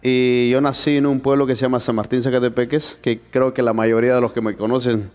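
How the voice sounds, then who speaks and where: A young man from Guatemala speaks, hesitant and soft, leaning on fillers. His voice is smooth and clear but trails off into a long reverb, and faint music plays underneath. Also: mid-range voice, flat delivery, fairly quick. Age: young adult. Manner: hesitant, many "este" fillers, soft-spoken. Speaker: guest. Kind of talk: testimony interview.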